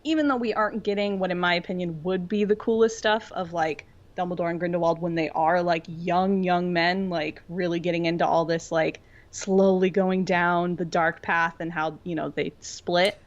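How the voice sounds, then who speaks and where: A woman's voice: on the low side, steady tone, normal speed, hardly any pauses, lo-fi sound. A woman co-hosting a podcast in casual chat, gushing and informal, peppering her talk with "like". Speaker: podcast co-host.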